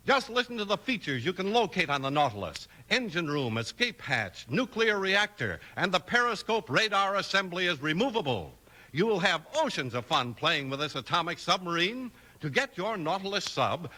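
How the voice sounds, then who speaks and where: A man's voice: high voice, sharp tone. An American man announcing and narrating in 1950s radio style, with punchy, emphatic delivery.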